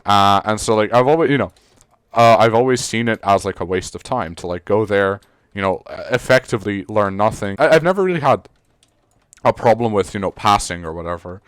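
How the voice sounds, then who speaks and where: Rambling Serbian teen boy, smooth voice. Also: fairly low pitch, brisk, no room sound, studio quality. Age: teens. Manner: rambling, many fillers and restarts, swears casually. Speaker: podcast co-host. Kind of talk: casual chat.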